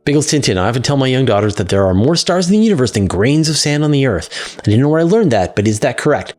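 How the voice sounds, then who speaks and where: A middle-aged man speaks as the host of a science question show. He explains things casually and conversationally, stressing the important words as he goes, at an ordinary male pitch. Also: sing-song, fast, a little mellow, faint music behind.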